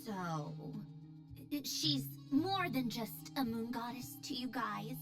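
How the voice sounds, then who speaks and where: A woman's voice: fairly high pitch, animated, slow, music in the background. An actress playing a brainy, proud, blunt young woman researcher in a video game, with an emphatic, haughty delivery. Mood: proud, frustrated.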